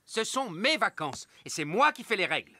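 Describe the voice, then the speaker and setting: A performer voicing a fussy, rigid, work-obsessed elf father in a children's cartoon, brisk and bossy. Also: medium pitch, big pitch swings, sharp tone, no room sound.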